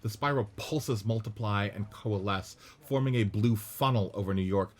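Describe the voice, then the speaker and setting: Low-voiced man reading stage directions. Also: moderate pace. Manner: steady, matter-of-fact. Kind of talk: reading a script aloud.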